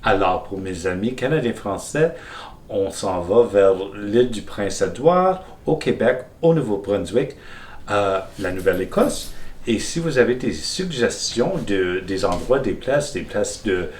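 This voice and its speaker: A tired man with a Northern Ontario French accent, a low voice and a teasing, playful way of co-hosting. He sits a little away from the mic in an echoey room with faint noise behind him. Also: animated, normal speed, far from the mic. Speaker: podcast co-host. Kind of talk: casual chat.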